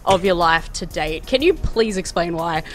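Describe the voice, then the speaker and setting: Soft-voiced young Australian woman interviewing. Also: on the low side, animated, normal speed, soft background music. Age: young adult. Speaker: interviewer, host. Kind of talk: casual interview chat. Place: small outdoor courtyard. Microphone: handheld microphone.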